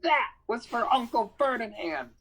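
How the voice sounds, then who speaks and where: A woman voicing a nervous young male satyr who stammers and yells. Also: high voice, wildly swooping pitch, slow pace, exaggerated voice. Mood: yelling. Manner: jittery, yelling. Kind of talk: audiobook character voice.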